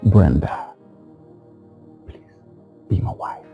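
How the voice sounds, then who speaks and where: An actor playing a nervous young man rehearsing a proposal and coaching himself quietly. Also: extremely slow. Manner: hesitant, self-coaching, soft-spoken. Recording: dull lo-fi recording, faint music.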